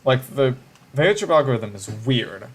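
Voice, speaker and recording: A man's voice: medium pitch, lively intonation, moderate pace. Musing man chatting on stream. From Israel. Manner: musing, hesitant, with frequent restarts. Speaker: livestreamer. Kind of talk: casual livestream chat.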